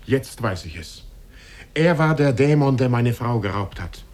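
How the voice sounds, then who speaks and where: A medium-pitched young husband played by an actor, full of remorse. He broods and laments in the earnest style of fifties radio drama. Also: lively intonation, a little slow, darkish tone, lightly acted. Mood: brooding, regretful. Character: remorseful, despairing young husband, former idler. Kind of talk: 1950s German radio drama acting.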